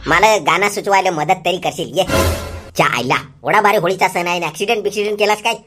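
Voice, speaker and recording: Man voicing a nosy rural Marathi pal, loud music. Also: high-pitched, brisk, natural voice. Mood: curious, teasing. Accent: rural Palghar Marathi. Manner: blunt, animated questioning. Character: nosy, teasing young village man, would-be singer. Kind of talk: comic cartoon dialogue.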